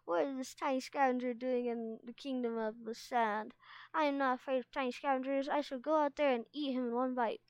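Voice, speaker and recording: A small young she-dragon, acted out by a woman, grandly pretending to be queen. The voice is high and smooth, dramatic and mock-regal with a timid, hesitant streak. It suits a children's storybook. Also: fairly even intonation, a little fast, no echo, close to an everyday voice. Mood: boastful.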